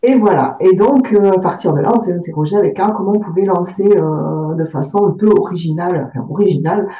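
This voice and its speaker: A woman's voice: fairly low pitch, fast. A woman author from southern France answering interview questions, hesitant, with many fillers and repeated words. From Aveyron, southern France. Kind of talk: interview answers about her book. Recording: distant, roomy, dull sound.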